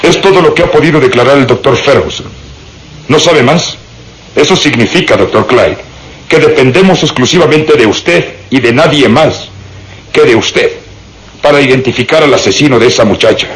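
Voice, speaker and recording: An actor playing a stern, methodical police inspector in a radio drama, speaking formally and with authority. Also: male voice, medium pitch, normal speed, fairly bright tone, natural voice, rough recording. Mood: stern, probing. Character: stern, methodical, officious male Scotland Yard police inspector.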